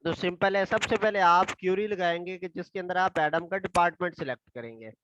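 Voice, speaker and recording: Hoarse high-voiced male tutor. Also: highs cut off, background noise. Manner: reads questions out slowly, then explains step by step. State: hoarse from a throat infection. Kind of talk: explaining exam solutions.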